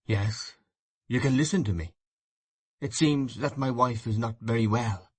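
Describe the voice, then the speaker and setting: A man's voice: mid-range voice, a little slow, natural voice. A man reading an audiobook voices an enraged, grief-stricken husband in a hard, accusing tone. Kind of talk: audiobook reading of a classic novel.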